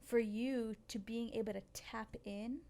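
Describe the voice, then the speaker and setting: A woman's voice: a little high, steady tone, a little slow, mellow. A woman co-hosting a podcast interview in a casual, supportive and affirming way. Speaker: podcast co-host.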